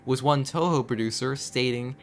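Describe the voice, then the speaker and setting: A man reading scripted commentary in a video essay, with measured storytelling broken by conversational asides. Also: medium pitch, a little hiss or hum. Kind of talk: scripted narration, horror series commentary. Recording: background music under voice. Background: background music.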